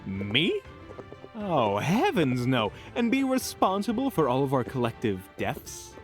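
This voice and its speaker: A man's voice: medium pitch, huge pitch swings, unhurried, soft background music. A man playing a prickly, sarcastic male mentor in a fantasy audio roleplay, speaking in a dry, easily offended way.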